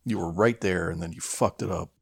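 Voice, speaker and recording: Male podcast co-host, lowish creaky voice, wry and sweary banter, dry close sound. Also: brisk, no echo. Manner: profane, wry. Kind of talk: casual comic banter.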